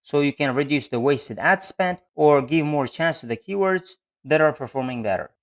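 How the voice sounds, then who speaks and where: A man presenting an online software tutorial, explaining each step methodically and repeating key points. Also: a little high, lively intonation, normal speed. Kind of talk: step-by-step screen-share tutorial narration. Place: voice-over on a screen recording.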